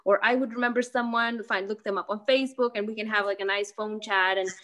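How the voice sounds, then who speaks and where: A woman's voice: mid-range voice, steady tone, fast talker, pushed and bright. A young woman from Lithuania in a casual interview, talking hesitantly with many fillers and restarts. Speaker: podcast guest.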